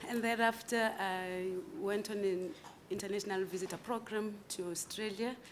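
A South African woman in her forties, answering interview questions formally and carefully, with frequent pauses and fillers, at a table microphone in a large conference room. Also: mid-range voice, fairly even intonation, a little hiss or hum. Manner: measured, hesitant with fillers. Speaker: candidate advocate interviewed for judgeship.

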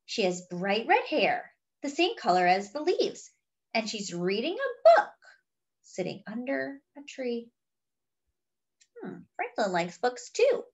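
Animated, high-voiced woman reading to children. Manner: exaggerated, drawn-out delivery addressed to young children. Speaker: storyteller reading a picture book aloud to children. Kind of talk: expressive children's read-aloud with chatty asides.

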